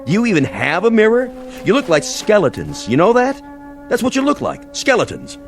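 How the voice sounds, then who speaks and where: A man's voice: high voice, huge pitch swings, normal speed, vocal fry, soft background music, heavily acted. An American man playing a domineering, mocking army major in an audio drama, talking in sarcastic, slangy, menacing lines. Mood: mocking, scornful. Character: domineering, mocking army major enforcing order after nuclear war.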